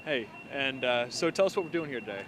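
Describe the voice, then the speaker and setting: A man's voice: medium pitch, animated, fast, a bit bright. A man casually asking questions as the interviewer in an outdoor street interview. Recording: noisy outdoor street with traffic.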